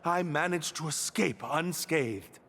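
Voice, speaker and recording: A man's voice: fairly high pitch, slow pace, a bit bright, exaggerated voice. An actor voicing an earnest young male prisoner in love, a somewhat hesitant character in a video game. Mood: earnest, lovestruck. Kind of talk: scripted game dialogue.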